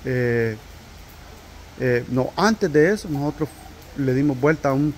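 A man's voice: mid-range voice, animated, measured pace. A man, co-hosting a casual vlog, drawing out a story for suspense with restarts. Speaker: vlog co-host. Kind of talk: casual chat. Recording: outdoor audio with faint background noise.

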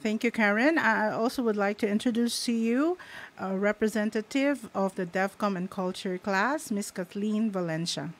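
A woman hosting a radio program and introducing speakers. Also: medium pitch. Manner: brisk introductions. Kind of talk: on-air hosting.

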